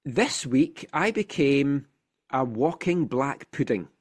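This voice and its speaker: Animated Scottish man, slightly congested. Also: medium pitch, slow. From Scotland. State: slightly congested from a sinus infection. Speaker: football podcast host. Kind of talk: casual sports podcast chat.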